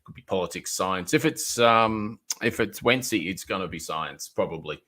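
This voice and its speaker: A man's voice: low-pitched, animated, normal speed, bright, forceful tone. A man hosting a podcast in casual chat, rambling with many ums and playful restarts. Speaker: podcast host.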